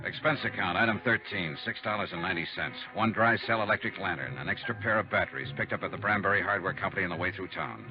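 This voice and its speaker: An actor playing a determined, level-headed investigator in a 1950s radio detective drama, with clipped, businesslike, decisive delivery. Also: male voice, sits low, fast talker, few pauses, over music. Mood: brisk, matter-of-fact. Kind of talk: 1950s radio detective drama acting with first-person narration. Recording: muffled, noisy 1950s radio recording.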